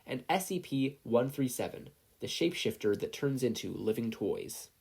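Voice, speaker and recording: An animated storyteller reads fan fiction aloud in audiobook style. The voice is slightly husky and swings widely in pitch, moving between scripted narration and casual, chatty asides. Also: moderate pace. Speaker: podfic reader, narrator.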